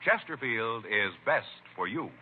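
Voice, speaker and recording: An American man working as a 1950s radio announcer, speaking in a measured, emphatic way. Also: medium pitch, lively intonation, slightly rough. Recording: muffled vintage radio recording.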